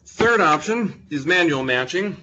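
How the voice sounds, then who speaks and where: A man's voice: a little high, animated, a little slow, sharp tone, muffled recording, a little hiss or hum. A young man presenting a technical talk to a live audience in a meeting room, speaking close to his microphone with a big voice and casual, self-deprecating humor. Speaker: presenter at a user group meeting.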